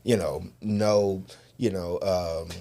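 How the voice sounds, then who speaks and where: A man's voice: low-pitched, fairly even intonation, slow pace, warm tone. A man, a stage actor, talking in a casual, reflective and laid-back way in an interview.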